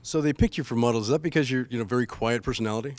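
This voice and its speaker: Fast-talking man hosting interviews. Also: medium pitch, darkish tone, no echo. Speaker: interviewer, host. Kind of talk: upbeat casual on-location interview.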